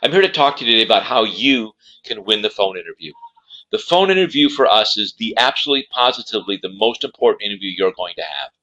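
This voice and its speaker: A man's voice: lowish voice, bright, forceful tone, poor audio. A man presenting as a career coach, giving instructional advice in an emphatic way and repeating key words for stress. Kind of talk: instructional talk to camera.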